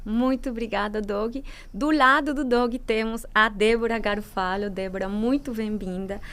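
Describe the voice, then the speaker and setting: A woman from Colombia with a Colombian Spanish accent, moderating a videocast panel with measured introductions. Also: fairly high pitch, fairly even intonation, a bit bright.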